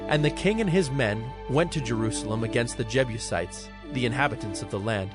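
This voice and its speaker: A man narrating scripture from a script in a formal, measured storytelling manner. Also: medium pitch, over music.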